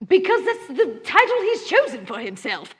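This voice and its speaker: A woman's voice: extremely high pitch, wildly swooping pitch, moderate pace, heavily acted. A woman playing a poised, teasing hundred-year-old vampire negotiator in a live tabletop roleplaying game. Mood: pointed. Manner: teasing, self-assured, diplomatic. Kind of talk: improvised tabletop roleplay before a live audience.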